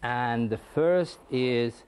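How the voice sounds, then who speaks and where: A man's voice: medium pitch, extremely slow, a little mellow, a little hiss or hum. A man hosting an English lesson show from a school studio, quizzing his students in an upbeat, coaxing way. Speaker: English teacher and show host.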